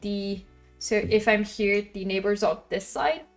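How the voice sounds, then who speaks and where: A woman with a loud voice chatting casually on a livestream from her apartment, rambling and venting about her neighbors. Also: medium pitch, unhurried, faint music behind. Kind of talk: casual chat.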